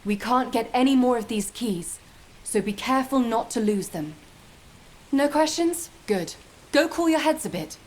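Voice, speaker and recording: An actress voicing a weary but firm squad commander in a video game, authoritative and wry. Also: female voice, on the high side, expressive, normal speed, a little mellow, lightly acted. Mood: commanding.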